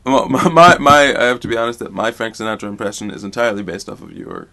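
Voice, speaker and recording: A man's voice: mid-range voice, quick pace, heavy background noise. A man co-hosting a podcast, chatting goofily and laughing often. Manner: giggly, breaks into laughter often. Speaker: podcast co-host. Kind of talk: goofy casual chat, joking around.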